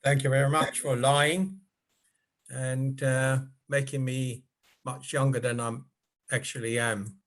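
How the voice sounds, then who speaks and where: An older man from Switzerland, speaking as a guest at a club meeting over a video call. He talks in a measured, polite way, with frequent filler pauses. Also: mid-range voice, level tone, fairly bright tone.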